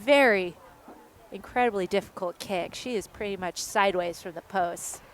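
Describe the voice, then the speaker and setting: A woman commentating on a rugby match in a lively, playful way that is quick to joke. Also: medium pitch. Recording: outdoor noise behind the voice.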